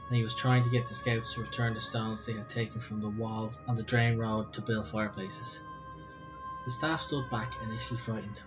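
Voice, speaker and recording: A man with an Irish accent co-hosting a podcast, telling stories and chatting casually. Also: lowish voice. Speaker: podcast co-host. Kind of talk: storytelling and casual chat. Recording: slightly dull sound, music present.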